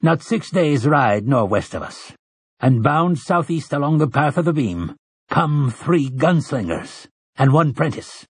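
A man's voice: fairly high pitch, animated, unhurried, breathy edge, no room sound, voice pushed up, heavily acted. A man voicing a stern old priest in an audiobook, commanding and oratorical, with rustic, archaic turns of phrase. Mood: commanding, assured. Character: stern, weathered old priest rallying frightened villagers. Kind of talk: audiobook character dialogue.

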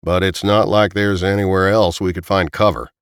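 A gruff, experienced man from an audiobook, played by the narrator, a man. The voice is fairly low and commanding, with an impatient edge. The sound is dry and close. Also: fairly even intonation, moderate pace, no echo. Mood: grim, measured. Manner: growling, commanding. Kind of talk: audiobook character dialogue.

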